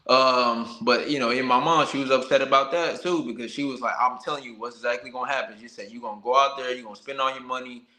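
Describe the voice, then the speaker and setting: A bright, medium-pitched American man talks as a guest on a boxing show. He tells his story candidly and casually in colloquial speech, and the sound is echoey. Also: fairly even intonation, quick pace, few pauses. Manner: candid, colloquial, peppered with 'you know what I'm saying'. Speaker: professional boxer, podcast guest. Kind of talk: casual personal storytelling in an interview.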